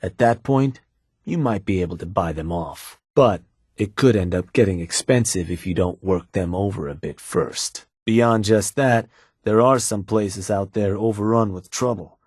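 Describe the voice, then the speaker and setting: A man's voice: on the low side, measured pace, a little mellow, husky, no room sound. An actor playing a laid-back male raider fixer who takes orders from his boss, speaking dry and matter-of-fact in video game dialogue. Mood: businesslike. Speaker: gang fixer taking orders.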